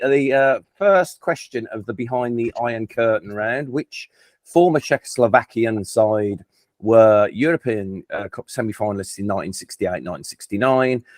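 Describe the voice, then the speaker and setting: A man's voice: lowish voice, a little mellow, dry recording. A man with a Birmingham accent hosting a casual football quiz, chatty and self-deprecating, often stumbling and restarting his sentences. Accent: Birmingham English. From England. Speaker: quiz host.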